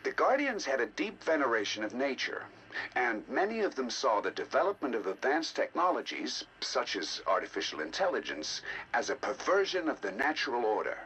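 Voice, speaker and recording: An actor playing a scholarly, intrigued scientist who narrates scripted game audio logs in a deliberate, theatrical, musing way. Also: male voice, mid-range voice, sing-song, sharp tone, a little hiss or hum, poor audio. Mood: grave, explanatory. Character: scholarly male scientist, intrigued by ancient alien data. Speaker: researcher narrating decoded alien history logs.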